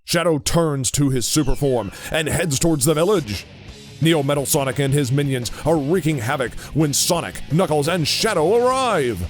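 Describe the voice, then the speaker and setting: A man's voice: a little high, lively intonation, moderate pace. A man narrating a scripted audio drama story. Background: music.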